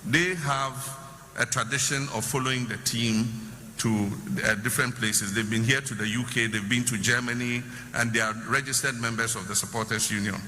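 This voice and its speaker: A man, a government official, giving measured, explanatory answers at a public question session. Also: mid-range voice, steady tone, pushed and bright. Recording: noisy hall with long reverb.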